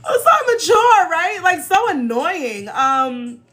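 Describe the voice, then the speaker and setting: An American woman in her thirties chatting casually as a livestream host, telling stories in an animated, playful, teasing way. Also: extremely high pitch, takes it slowly. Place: small indoor room in front of a banner.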